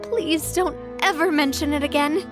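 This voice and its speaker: A woman's voice: high voice, normal speed, close to an everyday voice. An actress voicing an earnest, sentimental young woman who leads a clan, easily embarrassed, in a video game. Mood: flustered. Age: young adult. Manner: earnest, expressive, hesitant when flustered. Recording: music behind the voice.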